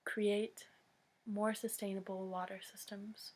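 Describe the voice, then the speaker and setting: American woman doing a serious public address, talks real slow, mid pitch, lots of long pauses, very earnest. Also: flat delivery, a little mellow. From United States. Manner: solemn, deliberate, with long pauses between short phrases.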